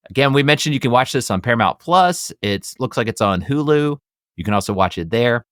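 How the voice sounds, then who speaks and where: A man's voice: medium pitch, fairly bright tone, clear, resonant tone, no room sound, studio quality. A middle-aged man with an American accent hosting a casual podcast, chatty, upbeat and enthusiastic. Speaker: podcast host.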